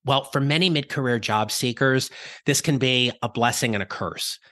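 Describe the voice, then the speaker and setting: A middle-aged man hosting a career-advice podcast, his voice raspy from illness, speaking in an upbeat, encouraging, conversational way. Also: medium pitch, moderate pace, bright, forceful tone, no room sound, clean studio sound. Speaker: podcast host.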